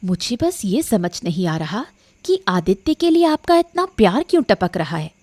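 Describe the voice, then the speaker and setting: A woman voicing a sharp-tongued, disapproving queen in a cartoon, with a pointed, questioning delivery. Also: medium pitch, sing-song, moderate pace, dry recording. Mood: indignant. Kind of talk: Hindi cartoon dialogue acting.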